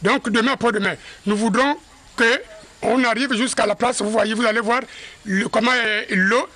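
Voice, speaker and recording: A man serving as a neighbourhood chief speaks in a high, bright voice. He talks haltingly, stopping often with fillers. He is interviewed outdoors in the street. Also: fairly even intonation. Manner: halting, with many pauses and fillers.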